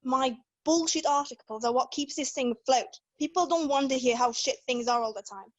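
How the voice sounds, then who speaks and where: A woman's voice: high-pitched, brisk, dry recording. A woman reading the part of a pushy, needling newspaper editor in his late fifties at an amateur script read. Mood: menacing, needling.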